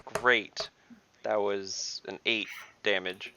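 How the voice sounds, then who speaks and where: A man's voice: on the low side, steady tone, slow pace, noisy background. A man chatting casually as a player in an online tabletop game, on slightly dull, dry audio.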